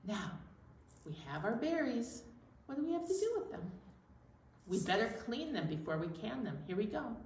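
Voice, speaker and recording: A woman's voice: a little high, sing-song, moderate pace, pauses often, a little mellow. A woman leading a children's storytime in simple, encouraging language, talking young listeners through hand motions and sing-along songs. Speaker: children's storytime leader at a library. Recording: roomy, distant mic, faint background noise.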